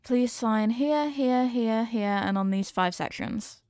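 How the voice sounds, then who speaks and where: A woman acts out an earnest young female scientist in an audio roleplay, fond of the test subject she addresses. Her voice sits a little high, and she words everything formally and precisely, like a clinician. The sound is very dry, with no room on it. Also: slow pace, darkish tone, dry recording. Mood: polite, businesslike. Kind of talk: scripted roleplay addressed to the listener.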